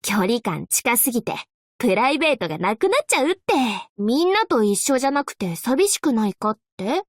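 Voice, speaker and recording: A woman playing an upbeat, playful young angel courier girl in a Japanese anime game, with a perky, teasing, expressive delivery. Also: a little high, brisk, dry recording, studio quality. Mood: chatty, easygoing.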